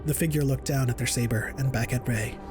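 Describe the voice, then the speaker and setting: Male narrator, medium pitch, dramatic audiobook delivery, scripted, clear music bed behind. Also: fairly even intonation, fairly quick. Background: dramatic score.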